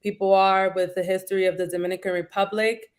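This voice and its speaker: A woman's voice: medium pitch, level tone, fast. A woman from New York speaking as a panel guest, thoughtful and full of fillers like "um" and "like". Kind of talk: casual discussion.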